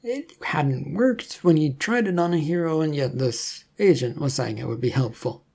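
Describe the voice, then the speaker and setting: Mellow kinda husky voice reading a story out loud, narrator style. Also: mid-range voice, animated, moderate pace.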